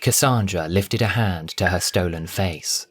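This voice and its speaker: A man narrating a dramatic audio story from a script in a theatrical, expressive way. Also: low voice, a little slow, breathy edge.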